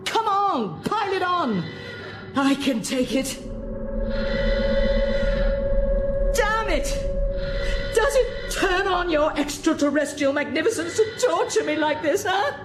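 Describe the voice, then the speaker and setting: A woman's voice: high-pitched, sing-song, unhurried. An actress playing a bitter, grieving woman scientist in a radio drama, sardonic and anguished, in a roomy echo. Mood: bitter defiance. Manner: sarcastic, anguished. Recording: roomy echo with music behind.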